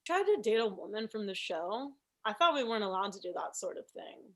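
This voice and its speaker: A woman's voice: mid-range voice, sing-song, fairly quick, a bit bright. A woman playing an oblivious, meddling show coworker in a comedy table read, chatty and matter-of-fact. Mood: breezy.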